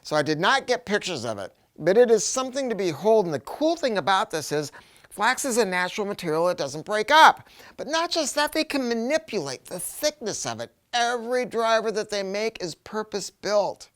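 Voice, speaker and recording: American man, product presenter, lively swooping intonation, colloquial, emphatic, rambling, enthusiastic. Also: high-pitched, moderate pace. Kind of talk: casual product review to camera.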